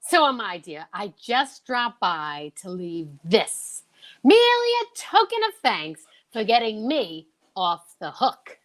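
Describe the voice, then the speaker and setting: A woman's voice: mid-range voice, very animated, slow pace. A woman playing a polite, grateful, easily flustered lady friend in an old-time radio show, gracious and slightly fluttery.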